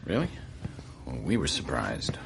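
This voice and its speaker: A man's voice: a bass voice, huge pitch swings, measured pace, creaky, a little hiss or hum, exaggerated voice. An actor playing an earnest, somewhat hesitant male intelligence officer in a naturalistic radio drama. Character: Richard Helms, earnest male CIA officer seeking advice.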